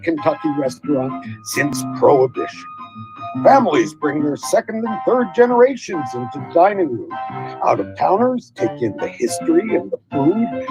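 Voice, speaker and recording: Lively announcer reading over music. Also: medium pitch, poor audio. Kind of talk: scripted ad narration.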